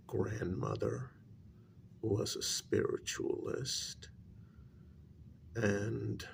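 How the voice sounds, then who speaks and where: A man acts out a grave male spiritualist who talks extremely slowly in a low, soft, gravelly voice. He picks his words with care and hesitates before hard news, in a hushed ASMR role-play style with background noise. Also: exaggerated voice. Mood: grave, measured. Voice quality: soft, low, creaky voice, breathy in places. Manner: very slow, deliberate, hesitant, with long pauses.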